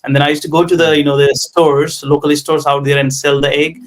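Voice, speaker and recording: A man with a Nepali accent, a tech entrepreneur in his thirties, telling his life story in an interview with many pauses and fillers. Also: fairly high pitch, level tone. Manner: hesitant, many ums and you knows.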